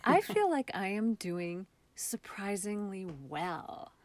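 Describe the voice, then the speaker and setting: A woman with an American accent speaking as an interview guest in a casual, reflective way, with thoughtful, halting phrasing. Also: medium pitch, sing-song, a little mellow. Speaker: interview guest, theater artist.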